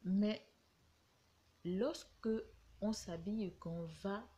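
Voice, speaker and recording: A woman vlogger with a cold, talking casually to camera and giving her view with slow, hesitant, reflective phrasing. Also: mid-range voice, warm tone. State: has a cold.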